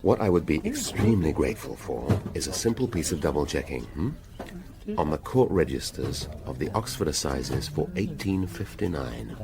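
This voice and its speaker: Very deep-voiced, brooding male detective. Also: big pitch swings, moderate pace, gravelly, background noise, full character voice. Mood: keen, testy. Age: middle-aged. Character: gruff, inquisitive middle-aged male police detective convalescing in hospital. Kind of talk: radio drama acting.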